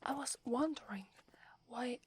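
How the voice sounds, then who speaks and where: A young woman with an Italian accent playing a devoted, humble werewolf warrior girl in an ASMR roleplay, speaking in a soft breathy whisper. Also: a little high, noisy background, close to an everyday voice. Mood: reverent, wondering. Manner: slow, hushed, deferential.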